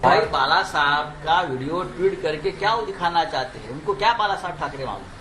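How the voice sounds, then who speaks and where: A man's voice: fairly high pitch, expressive, a little fast, pushed and bright, faint music behind. A man giving combative political remarks to the press, full of rhetorical questions. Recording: echoey, noisy press interview.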